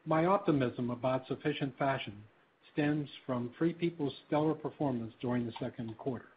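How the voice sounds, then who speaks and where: Tinny telephone sound, faint noise, male executive, mid-pitched voice, scripted remarks, formal and measured. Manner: formal, measured business delivery. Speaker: company executive on an earnings conference call. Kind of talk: scripted prepared remarks, read aloud. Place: conference call line. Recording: tinny phone-line conference call with faint noise.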